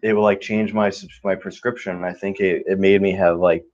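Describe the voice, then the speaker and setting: A man's voice: low-pitched, fairly even intonation, brisk, a little mellow. A young man chatting casually as a player, rambling and unsure, full of "like". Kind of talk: casual chat. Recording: dull lo-fi sound.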